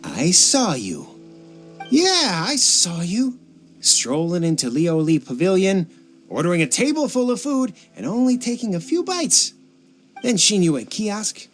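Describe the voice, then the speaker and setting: A small-time street crook bossing and wheedling his mark in a video game. His voice is high and swings widely, smarmy and pushy, over background music. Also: wildly swooping pitch, unhurried, heavily acted. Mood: accusing, exasperated. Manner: smarmy, pressuring, stammers when cornered. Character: wheedling, bullying male street thug boss, greedy and cowardly. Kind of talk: English video game dub acting.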